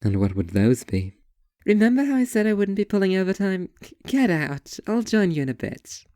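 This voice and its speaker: A woman's voice: medium pitch, big pitch swings, moderate pace, warm tone, no echo, clean studio sound, natural voice. A young woman playing a blunt, teasing waitress in audio fiction, with sarcastic, slangy delivery. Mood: mocking, exasperated. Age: young adult.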